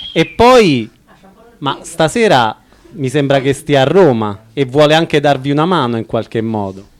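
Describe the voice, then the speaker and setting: A man co-hosting on radio, chatting casually. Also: a little high. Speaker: radio co-host. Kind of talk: casual chat.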